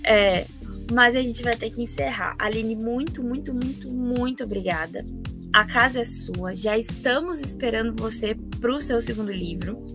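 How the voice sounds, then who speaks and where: A woman with a Brazilian Portuguese accent hosting a casual podcast interview, talking in an animated, emphatic way and often exclaiming. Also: fairly high pitch, normal speed, music in the background. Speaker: podcast host.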